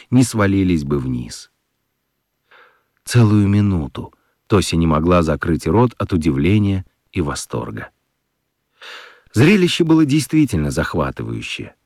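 Deep, animated male storyteller. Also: dry recording, studio quality. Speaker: narrator. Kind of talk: expressive storytelling for a children's radio play.

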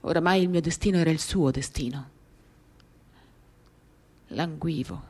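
A woman giving a dramatic reading of a literary first-person monologue, measured and expressive, in short phrases. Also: low voice, husky. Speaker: reader of a novel for radio theatre. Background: acoustic guitar.

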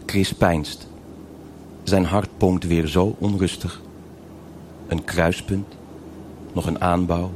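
An actor narrating a noir crime drama as a sober storyteller, in terse, measured, deliberate phrases. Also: male voice, sits low, level tone, darkish tone, a little hiss or hum. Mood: brooding, measured. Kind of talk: scripted present-tense noir narration.

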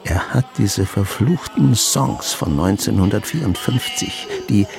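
A man's voice: fairly low pitch, expressive, a little slow, creaky, music in the background. A grumpy, reclusive old grandfather. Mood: indignant, bitter. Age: older. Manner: sardonic, brooding, dramatic. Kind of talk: German radio drama acting, dialogue and inner monologue.

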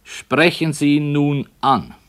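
An actor in a German radio play playing a composed, unyielding author who narrates in a measured, solemn way. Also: male voice, a little high, a bit bright, smooth.

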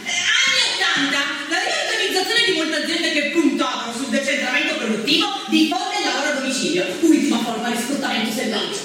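A woman's voice: high voice, very animated, brisk. Echoey dramatic female stage storyteller. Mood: emphatic. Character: female storyteller recounting working women's history. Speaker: stage actress. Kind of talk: theatrical storytelling, documentary theatre. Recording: very echoey hall, distant mic, noisy ambience.

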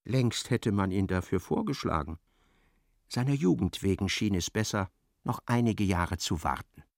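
A man narrating a literary radio play in a measured, dryly ironic way. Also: sits low, expressive, gravelly, no echo, studio quality.

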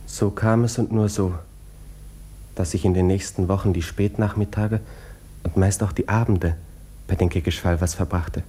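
An actor playing a sensitive young lieutenant in a German radio drama, courteous and reflective, with literary phrasing. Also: male voice, sits low, fairly even intonation, moderate pace, mellow, slightly rough. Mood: reflective, tender. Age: young adult. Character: sensitive young cavalry lieutenant recalling his past. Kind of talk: German radio drama, first-person narration.

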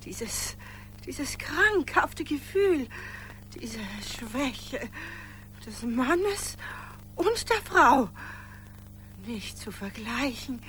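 Radio drama role: disillusioned young sweetheart, very high, slow, dreamy and dismissive. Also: female voice, very animated, fairly bright tone, slight background noise, heavily acted. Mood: disdainful, awed. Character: fickle, disillusioned young sweetheart drifting away, entranced. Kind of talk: German radio play acting.

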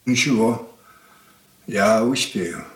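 Slow-spoken brooding father. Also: on the low side, reverberant. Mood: subdued. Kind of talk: 1980s Soviet radio play acting.

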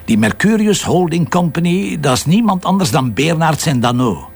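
An actor playing a cocky, stubborn Flemish police detective who speculates aloud with sarcastic self-assurance in a crime drama. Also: male voice, on the high side, animated, normal speed, creaky, breathy edge, heavily acted. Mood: insistent. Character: cocky, stubborn male police detective following his gut. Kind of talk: naturalistic television crime drama acting.

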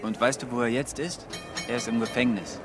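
Male actor as young police detective, self-satisfied, chatty, gossipy storyteller, medium pitch, audio drama dialogue. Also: fairly even intonation, fairly quick, music in the background, natural voice. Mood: gleeful.

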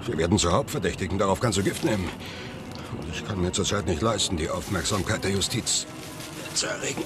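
A man's voice: low-pitched, brisk, over music, lightly acted. An actor playing a wary, cynical man in a radio play, terse and matter-of-fact. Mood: grim, wary. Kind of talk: radio drama dialogue. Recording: clear outdoor ambient noise.

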